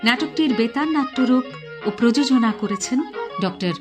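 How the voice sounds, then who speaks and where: A woman radio announcer giving the credits in a measured, formal way. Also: sits high, music in the background. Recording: radio broadcast with plucked strings behind.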